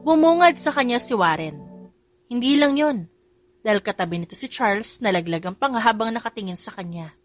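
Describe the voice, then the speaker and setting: A woman narrates a scripted romance audiobook. Her voice sits fairly high, and soft music plays behind it. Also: expressive, a little fast.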